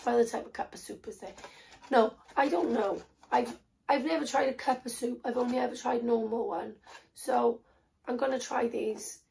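A young woman with a hoarse, croaky voice from a sore throat, chatting casually through a haul video in a small bedroom, rambling with lots of ums and self-corrections. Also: a little high, steady tone, normal speed, darkish tone. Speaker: vlogger.

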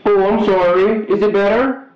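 A young man, vlogging in animated casual chat with a theatrical, excitable manner. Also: high-pitched, moderate pace, muffled recording. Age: young adult. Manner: theatrical, excitable, bursts into shouting. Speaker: vlogger making prank calls. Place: small bare bedroom. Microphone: camera a little way off. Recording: roomy echo, music and chatter behind.

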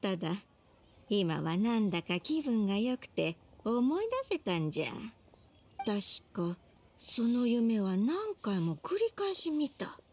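A woman's voice: mid-range voice, very animated, slow pace, a little mellow. A woman voicing a forgetful, cheerful older grandmother, with old-fashioned speech and a musing way of talking. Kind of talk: Japanese game dub. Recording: music under the dialogue.

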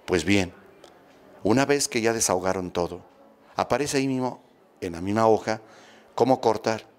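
A Mexican man in his fifties, a priest, giving a homily in a steady, instructive way, repeating phrases for emphasis, into a close handheld microphone. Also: lowish voice, normal speed. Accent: Mexican Spanish. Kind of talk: sermon on family healing. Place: small church room with statues, indoors. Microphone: handheld mic close to mouth.

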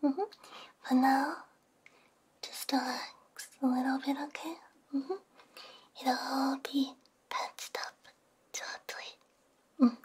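Slow, whispering young woman, ASMR. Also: sits high, fairly even intonation, a little hiss or hum. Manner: gentle, soothing, hushed, frequent soft hums. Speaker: ASMR roleplay performer as repair technician. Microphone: close binaural microphone.

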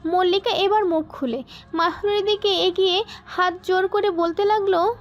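A woman's voice: very high-pitched, moderate pace. A woman reading scripted dramatic narration for an audio story. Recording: muffled band-limited sound, music bed.

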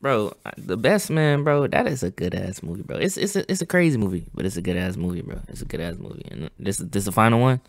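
A young man hosting a casual reaction video at home, talking excitedly in slang and saying bro a lot, on a headset mic. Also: fairly low pitch, fast, hardly any pauses, dry recording. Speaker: reaction channel host. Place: home gaming setup, indoors.

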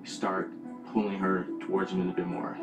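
A reality show contestant in a confessional, wry and sarcastic. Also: male voice, deep voice, completely flat delivery, fairly quick, reverberant, loud music behind the voice. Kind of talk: confessional interview.

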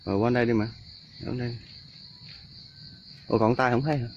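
A man's voice: mid-range voice, fairly even intonation, fairly quick. A man with a southern Vietnamese accent chatting casually as a vlogger filming outdoors. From Ben Tre, southern Vietnam. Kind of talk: casual chat. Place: outdoors by a creek in a coconut grove. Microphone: handheld camera or phone mic. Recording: dull outdoor recording, loud insect noise.

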